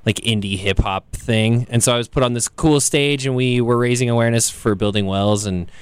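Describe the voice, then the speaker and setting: A man, a singer being interviewed, chatting casually in an informal, halting way. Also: medium pitch, clean tone, dry recording. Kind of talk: casual chat. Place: radio studio.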